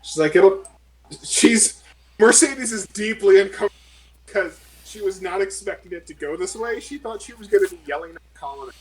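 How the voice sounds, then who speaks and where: A man's voice: high-pitched, normal speed, slight background noise, low-quality recording. A man bantering casually as a tabletop role-player, sardonic and sweary. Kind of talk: casual in-character game banter.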